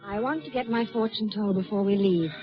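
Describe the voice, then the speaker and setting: Female actor as eager young sweetheart, quick and playful, muffled old radio play with music behind. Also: medium pitch, natural voice. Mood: eager, affectionate. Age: young adult. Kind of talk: 1940s radio drama acting.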